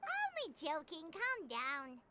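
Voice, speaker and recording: A woman voicing a tiny, cheeky, childlike fairy companion in a video game, with a squeaky voice. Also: wildly swooping pitch, takes it slowly, heavy background noise, heavily acted. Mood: teasing. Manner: speaks of herself in third person, cheeky. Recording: loud music under the voice. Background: game music.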